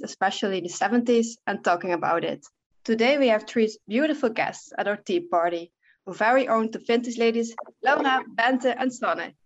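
A woman hosts a relaxed podcast chat in a friendly tone, pausing on fillers now and then. Her voice sits a little high, and the recording has a slightly dull, lo-fi quality. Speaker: podcast host. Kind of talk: casual interview chat.

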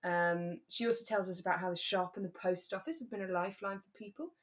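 A woman co-hosts an informal chat show. Her voice sits slightly on the low side for a woman. She talks conversationally, as if going over episodes with a friend. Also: fairly even intonation, fast talker. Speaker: podcast co-host; actor. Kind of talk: casual chat recapping a radio drama.

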